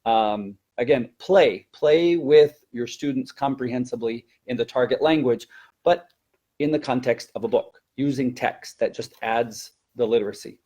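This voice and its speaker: A man teaching in a conversational webinar style, explaining and demonstrating in an animated way as a presenter and teacher trainer. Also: medium pitch, moderate pace, breathy edge.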